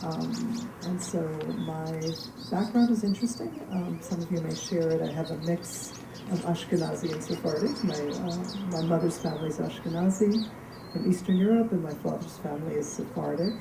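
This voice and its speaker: A woman's voice: deep voice, normal speed, vocal fry. A woman from Cuba, a guest speaker addressing a live gathering in a reflective way with frequent ums. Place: community hall. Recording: echoey hall, distant mic, noisy.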